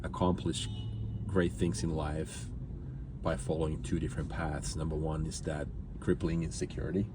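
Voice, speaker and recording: A man's voice: very deep voice, flat delivery, moderate pace, noisy background. A man from Finland speaking reflectively as a guest in a conversational interview. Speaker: interview guest, entrepreneur and business coach.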